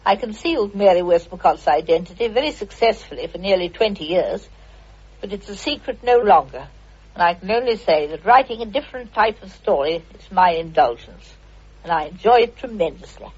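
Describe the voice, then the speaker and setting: A woman's voice: medium pitch, animated, brisk, a little mellow, slightly rough. A British woman reading a prepared statement for radio in a composed, formal way. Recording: muffled old radio recording.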